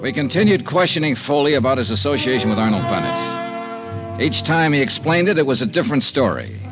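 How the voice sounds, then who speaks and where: A man's voice: medium pitch, very animated, brisk, music in the background, natural voice. A man with an American accent playing an impatient, hard-nosed private investigator in a vintage radio noir drama. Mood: dry narration. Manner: clipped, pressing interrogation. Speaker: lead actor and narrator.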